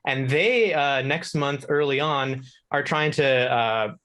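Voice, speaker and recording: A man's voice: mid-range voice, slow, a bit bright. A man speaking as a show guest, explaining things casually and thinking aloud. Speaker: video game contributor, show guest.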